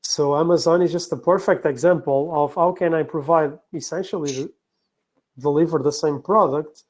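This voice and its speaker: A man from Portugal with a Portuguese accent giving a business presentation, explaining point by point in a somewhat halting way. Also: a little high, steady tone. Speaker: conference speaker, operational excellence professional.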